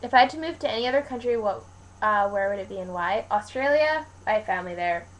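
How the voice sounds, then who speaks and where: A woman's voice: mid-range voice, animated, fast, a little hiss or hum, low-quality recording. A young woman from Canada talking casually to viewers in a rambling, informal way, like a vlogger answering questions. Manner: rambling, informal, colloquial with frequent "like". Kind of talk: casual chat to camera, Q&A vlog.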